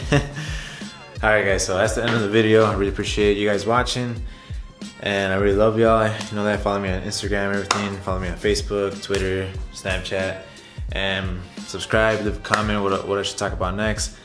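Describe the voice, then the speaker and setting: A young man with a lowish voice chats casually to his viewers like a vlogger telling a story. He is animated and informal. The room is noticeably echoey, with faint music underneath. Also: moderate pace. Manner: animated retelling, chuckles often, addresses viewers as guys. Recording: roomy echo, music underneath.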